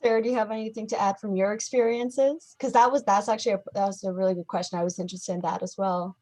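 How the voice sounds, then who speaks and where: A woman's voice: medium pitch, fairly even intonation, fast talker, darkish tone, rough recording. A young woman moderating a student Q&A over a video call, friendly and affirming with some hesitations. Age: young adult. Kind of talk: casual moderating.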